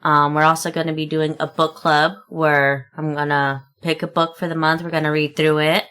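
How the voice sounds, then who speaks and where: A woman's voice: low-pitched, little pitch movement, normal speed, fairly bright tone, smooth. A woman in her thirties co-hosting a podcast, chatting casually and teasing her husband in a playful way. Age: 30s. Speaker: podcast co-host. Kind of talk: casual chat.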